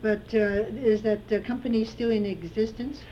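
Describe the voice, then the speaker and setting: A woman interviewing an older man, asking gentle questions with fillers. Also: on the high side, fairly even intonation, normal speed. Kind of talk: oral history interview. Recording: dull lo-fi tape with faint hiss.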